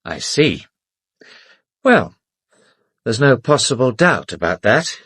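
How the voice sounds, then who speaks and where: An actor playing an educated, defensive English gentleman and weary secret lover in a British radio drama, speaking politely and guardedly with wry self-deprecation. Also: mid-range voice, very animated, slow pace, slightly rough, no echo, clean studio sound, heavily acted.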